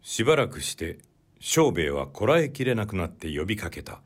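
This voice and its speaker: Male audiobook narrator, lowish pitch, deliberate and measured delivery of literary prose. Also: sing-song.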